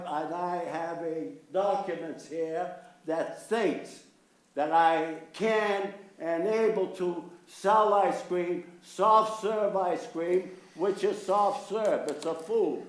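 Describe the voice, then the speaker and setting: Man in his 90s, slow and insistent public commenter, slightly high voice, echoey meeting room. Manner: insistent, repeatedly asks if listeners understand. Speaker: resident giving public comment.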